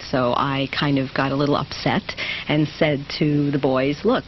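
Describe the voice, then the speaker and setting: A middle-aged woman, a singer's mother, being interviewed in easy chat, earnest and halting, laughing easily. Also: deep voice, dull audio, a little hiss or hum.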